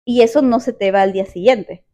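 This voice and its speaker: A woman from Peru with a Peruvian accent, telling a personal story in casual chat, animated and quick to repeat words. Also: a little high. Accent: Peruvian Spanish.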